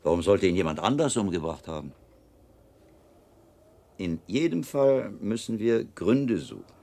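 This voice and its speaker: An actor playing a shrewd, calm monk investigator in a radio drama, deliberating analytically as a mentor. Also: male voice, lowish voice, unhurried, gravelly, exaggerated voice. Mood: pensive, probing.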